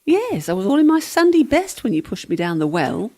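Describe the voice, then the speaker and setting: A woman's voice: mid-range voice, big pitch swings, a little fast, darkish tone. A woman playing the ghost of a flippant young servant in a comic radio play, sarcastic, teasing and breezy. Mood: sardonic.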